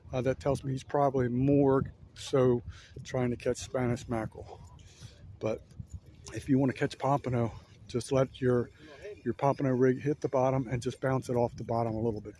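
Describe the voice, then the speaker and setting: A man casually narrating a walk-around outdoors as a fishing vlogger, in a laid-back, halting, rambling manner. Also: mid-range voice, level tone, dark tone, a little hiss or hum. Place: outdoors on an ocean fishing pier. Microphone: handheld camera, held by speaker.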